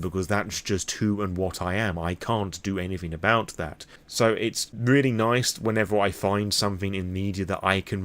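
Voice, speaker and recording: A British man rambling candidly and off the cuff in a vlog, opinionated and swearing freely. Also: on the low side. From Britain. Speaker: vlogger on tabletop roleplaying lore.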